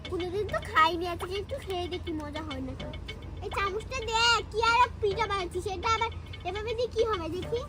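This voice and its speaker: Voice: very high voice, brisk, loud music behind the voice. A performer voicing a bossy, busy village mother doll in a pretend-play story, chatty and scolding. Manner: chatty, self-narrating, scolding.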